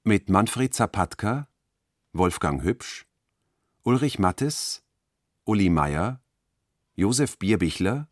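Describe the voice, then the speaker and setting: A man reading the credits of a radio production in a formal, deliberate way. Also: fairly low pitch, animated, no echo. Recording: dry close studio sound.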